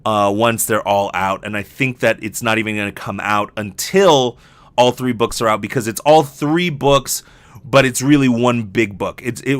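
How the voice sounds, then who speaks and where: A man's voice: mid-range voice, a bit bright, dry recording. A man hosting a solo podcast in a casual, rambling, self-deprecating and joking way, swearing freely. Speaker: podcast host, voice actor.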